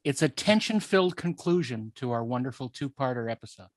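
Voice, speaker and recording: A man's voice: mid-range voice, expressive, fairly quick, no room sound. A man co-hosting a podcast, casually discussing film and reading documents aloud. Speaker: podcast co-host.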